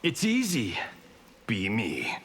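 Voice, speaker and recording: An actor playing a vain, wisecracking film star turned fighter in a video game, smug and joking. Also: male voice, fairly low pitch, wildly swooping pitch, slow pace, creaky, a bit bright, full character voice. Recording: hall reverb with some background noise.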